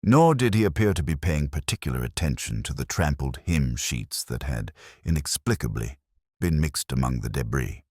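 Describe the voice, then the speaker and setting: A man narrating a scripted mystery story in a measured, wry storytelling manner. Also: rumbling low, animated, a little mellow, slightly rough, no room sound, studio quality.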